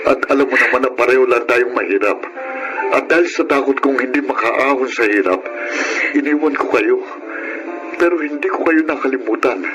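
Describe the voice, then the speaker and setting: An actor with a Filipino accent playing a gentle, remorseful middle-aged funeral parlor owner in a radio drama, earnest and halting. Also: male voice, medium pitch, music in the background, lightly acted. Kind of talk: Tagalog radio drama acting. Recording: noisy radio drama track.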